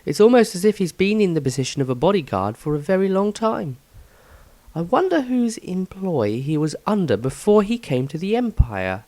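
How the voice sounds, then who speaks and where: A man voicing an uneasy, observant adventurer, soft and thoughtful, reading a fantasy diary entry. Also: high-pitched, very animated, normal speed, dry recording, pitched above the performer's own voice. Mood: uneasy, pensive. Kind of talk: fantasy audio story diary.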